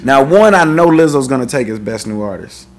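A man co-hosting a casual podcast, swinging between loud outbursts and low mutters. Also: expressive, a little fast, music in the background. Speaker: podcast co-host. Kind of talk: casual chat.

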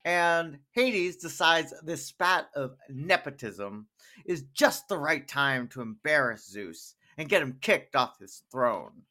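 A man's voice: mid-range voice, sharp tone. A man co-hosting a podcast, recapping a story in a wry way with theatrical emphasis on the punchlines. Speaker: podcast co-host. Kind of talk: animated episode recap with co-host.

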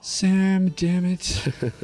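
A man's voice: high voice, flat delivery, slow, dark tone. A man hosting a casual podcast, joking around with his co-hosts. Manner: joking, self-deprecating. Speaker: podcast host.

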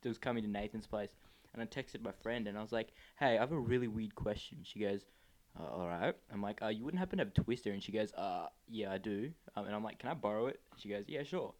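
An eighteen-year-old man chatting casually as a podcast guest, telling stories and laughing easily. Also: a little mellow. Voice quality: higher voice than his co-host, by his own account. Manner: chatty storyteller, quick to laugh. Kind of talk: casual chat.

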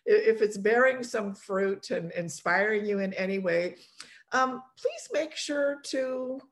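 A woman's voice: medium pitch, slow, slight background noise. A middle-aged woman from Poland hosting a casual podcast chat over a video call, chatty, laughing often and restarting her sentences. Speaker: podcast host.

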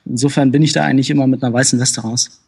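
A young man from Hamburg, a guest in a casual interview, talking in a relaxed, rambling way with many fillers. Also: medium pitch, racing, gravelly, slightly rough. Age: young adult.

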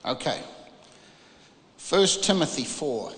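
A man from New Zealand with a New Zealand accent preaches an emphatic, informal charismatic sermon, using dramatic pauses and rhetorical questions. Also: fairly high pitch, big pitch swings, fairly bright tone. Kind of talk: charismatic sermon, part exhortation and part Bible reading. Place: large reverberant room, preaching to a congregation. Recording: echoey, reverberant large room.

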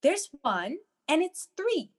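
A woman's voice: extremely high pitch, big pitch swings, slow pace, heavily acted. A woman playing a prim, fussy babysitting agency dispatcher who reassures people, in a comedic radio play. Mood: reassuring.

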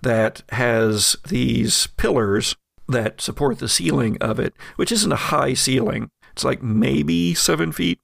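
A man runs a tabletop game, describing rooms and objects in a loose, chatty way. His voice has a gravelly edge and sits at a middle pitch. The recording is dry and close. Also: slow pace, dry recording. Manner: relaxed, chatty descriptions with fillers. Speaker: game master.

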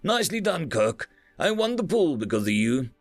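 A man's voice: a little high, sing-song, moderate pace, dry recording, faint music behind, voice pushed up. A man voicing a self-assured alien ship commander, breezy and smug, addressing a crew in a science fiction audiobook. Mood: breezy, amused.

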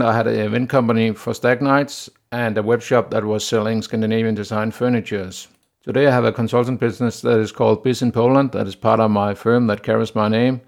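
Male podcast host, Danish accent, gravelly voice at a mid pitch, steady and plain with hesitant "uh" fillers. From Denmark. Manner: steady, plain delivery with frequent "uh" pauses. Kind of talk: solo business podcast monologue.

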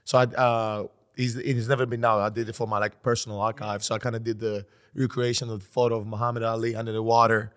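A man's voice: mid-range voice, flat delivery, fast, hardly any pauses. A man from Greece hosting a casual podcast interview in a playful way, often repeating himself and using fillers. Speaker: podcast host.

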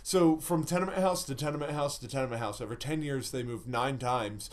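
A man's voice: mid-range voice, fairly quick. A man casually telling a crude story as a podcast host, rambling with many fillers. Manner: rambling, filler-heavy, laughing often.

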